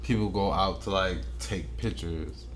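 A low-pitched American man chats as a podcast co-host. His delivery is informal and slangy, with some swearing. There is faint noise in the recording. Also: measured pace. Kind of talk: casual chat.

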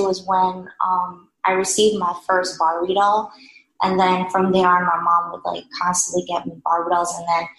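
A woman's voice: fairly low pitch, a bit bright. A young woman from New York City, a guest artist answering interview questions casually, hesitant and full of fillers. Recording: echoey room with faint noise.

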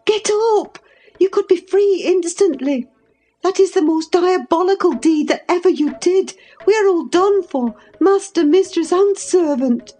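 Woman acting an agitated, high-pitched scolding housekeeper. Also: lively intonation, unhurried, mellow. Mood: indignant scolding. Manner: urgent, exclamatory. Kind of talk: dramatized audiobook reading of a classic novel.

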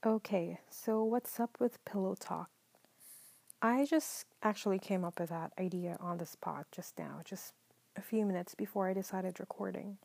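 A woman from the Philippines hosting a solo podcast, talking in a calm, mellow way, partly whispered, with many ums. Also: medium pitch, normal speed, vocal fry, no room sound. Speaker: podcast host. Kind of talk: soft-spoken solo podcast monologue.

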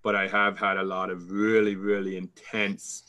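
A man's voice: low voice, flat delivery, normal speed, pushed and bright. A man with a Canadian accent, a sales coach answering interview questions in a confident, fluent storytelling way.

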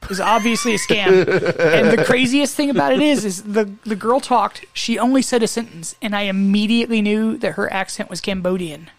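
A man co-hosting a casual chat, telling stories in an animated way and laughing often. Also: high voice, fairly quick, few pauses. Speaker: podcast co-host.

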